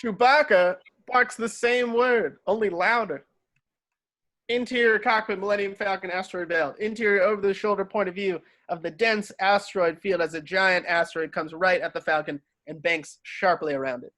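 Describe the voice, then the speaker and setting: Male narrator for a script read-through, slightly high voice, reading scene directions. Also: moderate pace, sharp tone.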